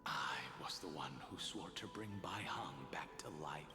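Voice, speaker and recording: An actor playing a solemn, weary leader in a game, with a measured, grave and formal delivery. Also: male voice, a bass voice, expressive. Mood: bitter, anguished. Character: solemn, weary male Vidyadhara leader with hidden anguish. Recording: long echo, music behind.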